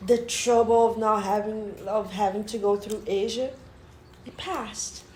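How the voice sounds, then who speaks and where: A woman's voice: medium pitch, steady tone, unhurried, darkish tone. A young woman from Brazil, a Portuguese speaker, chatting playfully as a podcast co-host. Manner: playful, giggly. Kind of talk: casual chat between friends. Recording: roomy echo with faint background noise.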